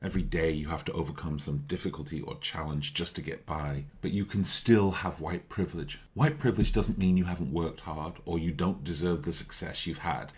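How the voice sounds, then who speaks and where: Deep-voiced man narrating an explainer video. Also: a little mellow.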